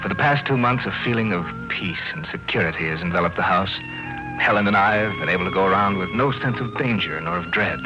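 Casting: male actor as troubled husband narrating his diary of dread, low-pitched, vintage radio serial, muffled sound over music. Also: fast talker, natural voice. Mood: somber, weary. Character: haunted, grieving husband keeping a diary of dread. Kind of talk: old-time radio drama acting.

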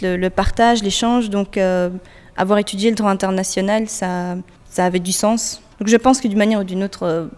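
A woman's voice: medium pitch, darkish tone. Young woman singer answering, echoey room. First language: French. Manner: hesitant, many fillers and restarts. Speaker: singer-songwriter guest. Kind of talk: interview answers.

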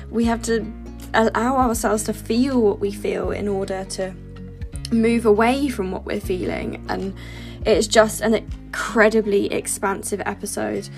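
An enthusiastic woman host opens her podcast with a scripted introduction. Her voice sits at a middle pitch, and she leans hard on emphatic words.

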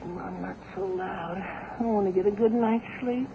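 Teenage boy, high voice, excitable, whiny, vintage radio sitcom, hissy thin recording. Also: a little slow, gravelly, phone-quality sound. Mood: sleepy. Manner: whiny, exclamatory. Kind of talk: 1940s radio comedy acting.

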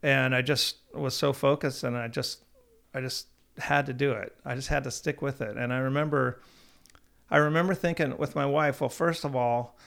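A man's voice: medium pitch, fairly even intonation, moderate pace, gravelly, a bit bright. A man in his thirties, a company founder, telling his story in a casual podcast chat, slightly nasal and rambling with frequent restarts. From Oregon.

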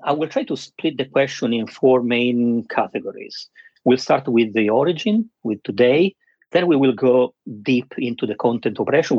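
A man hosting a webinar interview, speaking in a structured way with frequent pauses. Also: medium pitch, a little mellow, breathy edge, no room sound, highs cut off. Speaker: host.

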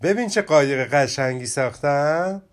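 A man voicing a proud, quick-tempered young rabbit boy in a children's storybook reading, speaking with emphatic indignation. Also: a little high, expressive, pitched above the performer's own voice, lightly acted. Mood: eager.

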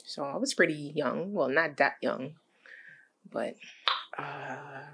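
A woman's voice: deep voice, takes it slowly, creaky, a bit bright, breathy edge. A young woman from Guyana chatting casually on a podcast, laughing easily as she talks. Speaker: podcast co-host.

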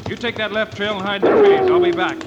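A man playing a steady, commanding Western hero with decisive, folksy cowboy speech in a radio drama. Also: very high-pitched, fast talker, dull audio, full character voice. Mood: urgent. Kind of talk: 1950s radio Western acting. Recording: very noisy old-time radio recording.

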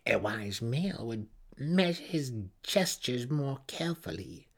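A man voicing a cruel, imperious female dark elf high priestess with a menacing, scornful snarl in an audiobook. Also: sing-song, measured pace, vocal fry, heavily acted. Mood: menacing threat.

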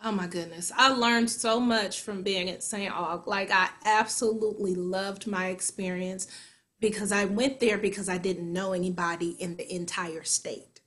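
A woman's voice: mid-range voice, moderate pace. A woman in her forties from Kansas City, a stage actor and singer, chatting as a guest and acting out her own thoughts as she tells stories. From Kansas City, USA. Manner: lively storytelling, acts out her own inner voice. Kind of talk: conversational interview.

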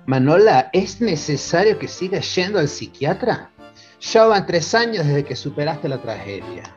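A man's voice: fairly high pitch, very animated, measured pace, dull audio, faint music behind. A man playing a doting, mild husband in a radio soap opera. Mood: concerned.

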